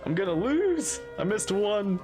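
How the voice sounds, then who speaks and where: A man reacting casually to a game as a streamer, expressive and joking. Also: high-pitched, a little slow. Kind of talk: casual live reaction commentary. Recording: game music behind the voice.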